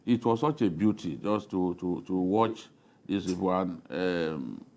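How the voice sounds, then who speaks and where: A middle-aged man with a Nigerian accent in an unscripted panel discussion, speaking haltingly and repeating words. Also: low-pitched, a little mellow.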